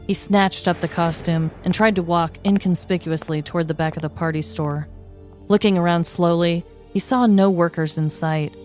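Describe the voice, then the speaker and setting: A woman narrates a scripted true-crime tale as a podcast host. Her voice sits a little low and her telling is measured and articulate. Faint music plays underneath. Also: a little mellow.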